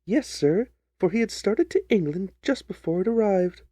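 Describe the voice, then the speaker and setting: A man's voice: high-pitched, expressive, moderate pace, mellow, dry recording. A man voicing an earnest, trusting, distressed young jilted bride in a dramatised story reading. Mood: earnest, recounting. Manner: earnest, wordy, pleading.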